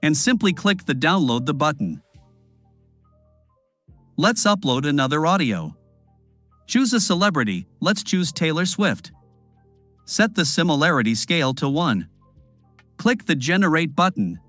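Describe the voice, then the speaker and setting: A man giving step-by-step instructions in a screen-recorded software tutorial voice-over. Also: fairly high pitch, measured pace, pauses often, no room sound, faint music behind. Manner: instructive, lively intonation.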